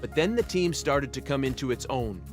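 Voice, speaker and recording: A man reading a scripted tribute narration in a measured, formal way. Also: medium pitch, expressive. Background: music.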